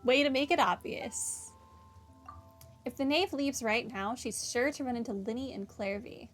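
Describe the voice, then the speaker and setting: A woman commentates a game playthrough in a slightly high voice. She thinks aloud casually and reads bits of dialogue out. Soft music sits in the background. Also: normal speed. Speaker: gamer commentator.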